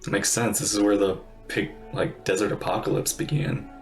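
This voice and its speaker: A man's voice: fairly low pitch, fairly even intonation, fairly quick, creaky, faint music behind. A man streaming a video game, giving casual reaction commentary.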